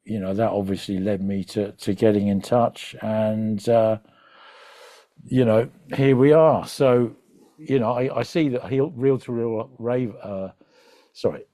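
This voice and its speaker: Relaxed man hosting an informal interview. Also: lowish voice, unhurried, no room sound. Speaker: interviewer, host. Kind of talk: conversational interview.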